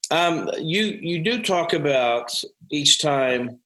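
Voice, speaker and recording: A man, a pastor, speaking in a conversation, slow and deliberate, with some hesitations. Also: on the high side, expressive, pushed and bright, rough recording. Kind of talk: conversational interview.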